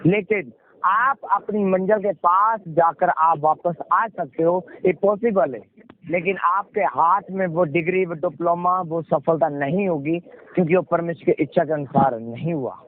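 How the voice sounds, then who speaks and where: A man's voice: fairly high pitch, measured pace. A male pastor with a Punjabi accent preaching in an exhorting way, repeating phrases and asking questions that he answers himself. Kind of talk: sermon with rhetorical questions, call and response. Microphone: conference call. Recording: muffled, noisy conference call audio.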